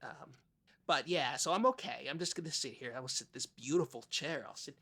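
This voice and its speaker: A man co-hosting an improvised comic podcast, talking in a halting, hesitant and rambling manner. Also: mid-range voice, gravelly, a bit bright, breathy edge. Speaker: podcast co-host.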